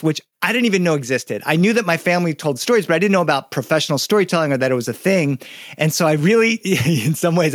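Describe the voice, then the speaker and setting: A man who is a guest storyteller and educator, talking through his story in a relaxed interview, animated and anecdotal, with fillers and restarts. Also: fairly high pitch, no room sound, clean studio sound. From United States.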